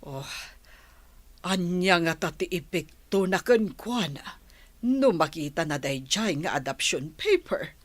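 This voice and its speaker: A reproachful old grandmother in a radio drama. She speaks very slowly, and the recording is close and dry. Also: lowish voice, expressive, no echo. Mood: uneasy. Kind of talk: Ilocano radio comedy-drama acting.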